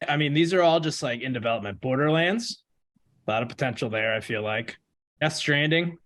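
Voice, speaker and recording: A man's voice: mid-range voice, animated, brisk, a bit bright. A man co-hosting a podcast, chatting about movies in a laid-back, sweary way. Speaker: podcast co-host. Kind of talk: casual chat about movies.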